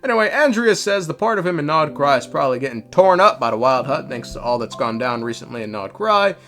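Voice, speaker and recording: A man's voice: on the high side, big pitch swings, quick pace, fairly bright tone. A man giving a casual, irreverent game story recap with sweary, sardonic asides.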